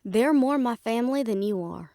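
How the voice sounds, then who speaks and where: A woman's voice: on the high side, animated, normal speed, mellow, no echo, studio quality. A woman playing a sarcastic, defiant young half-fae daughter in an audio drama, with a snappy manner. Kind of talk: dramatic audio roleplay acting.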